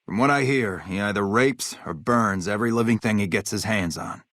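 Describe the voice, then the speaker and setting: An actor playing a hard-bitten, vengeful military officer in a video game, blunt, profane and matter-of-fact. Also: male voice, fairly low pitch, normal speed, fairly bright tone, dry recording, studio quality, close to an everyday voice. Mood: grim, warning. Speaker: game character, army officer.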